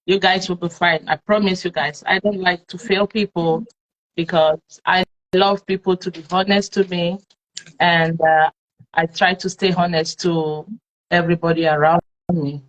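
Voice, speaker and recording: A woman's voice: on the low side, fairly even intonation, normal speed, lo-fi sound. A Nigerian woman hosting a live-streamed talk show, chatting with followers and leaning on plenty of fillers. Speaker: host.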